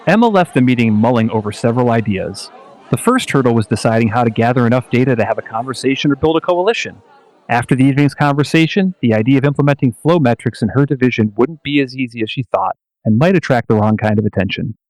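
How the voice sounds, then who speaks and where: A man reads scripted narration for a radio play. His voice sits at a middling pitch. The sound is close and dry, without room echo. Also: expressive, fast talker, a little mellow, a faint crowd.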